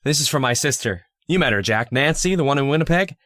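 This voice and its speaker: An actor playing a moody, homesick man at a remote outpost, colloquial and expressive in old-time radio drama style. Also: mid-range voice, quick pace, no room sound, clean studio sound, lightly acted. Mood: excited. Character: moody, homesick, touchy male outpost partner.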